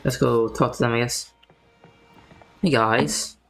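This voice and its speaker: A man's voice: medium pitch, steady tone, slow pace, soft background music. A young man giving casual, slangy commentary while he plays a video game, in a laid-back way. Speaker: gaming streamer.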